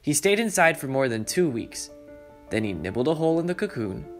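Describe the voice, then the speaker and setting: A young man hosting a children's story time, reading aloud in a deliberate manner and speaking directly to young listeners. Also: a little high, sing-song. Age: young adult. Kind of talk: read-aloud storytelling for preschoolers. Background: background music.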